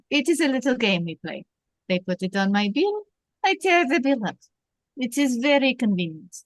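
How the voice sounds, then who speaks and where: A woman's voice: a little high, big pitch swings, moderate pace, no echo. Woman playing a suave French police captain. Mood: courtly, flattering. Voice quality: French-accented character voice. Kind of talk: amateur script read-through.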